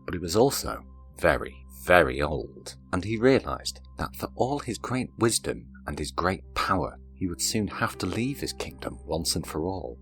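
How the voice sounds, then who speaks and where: Male narrator, extremely low voice, measured fairy-tale reading with music underneath. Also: sing-song, fairly bright tone. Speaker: storyteller, narrator. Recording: narration over background music.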